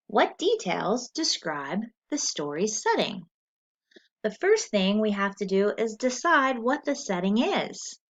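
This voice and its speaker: A woman teacher reading a story aloud in an expressive, deliberate, instructional way, as for a lesson with questions. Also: medium pitch. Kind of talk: scripted story read-aloud with comprehension questions. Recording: dull lo-fi recording.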